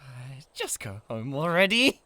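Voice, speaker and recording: A man's voice: medium pitch, sing-song, unhurried, pushed and bright, dry recording. A British man playing a huge, lovesick, possessive giant in a comedic ASMR roleplay, theatrical and easily exasperated. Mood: irritated.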